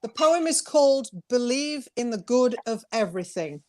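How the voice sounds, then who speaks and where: A woman's voice: fairly high pitch, lively intonation, normal speed, dry recording. A woman co-hosting a talk show as a poet, speaking earnestly and emphatically and repeating phrases for emphasis. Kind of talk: heartfelt talk and poetry reading.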